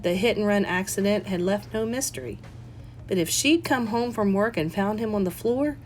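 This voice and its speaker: A woman's voice: medium pitch, slight background noise. A woman reading a cozy mystery novel aloud as scripted audiobook narration. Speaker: audiobook reader.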